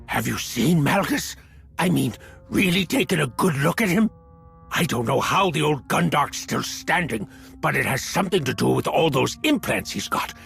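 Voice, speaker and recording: A man's voice: a little high, expressive, moderate pace, vocal fry, fairly bright tone, husky, faint music behind, full character voice. A man playing a cowardly, whining, self-serving slicer in a video game, stammering and pleading nervously. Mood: bitter grumbling. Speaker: captured comms technician informant. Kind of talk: video game cutscene acting.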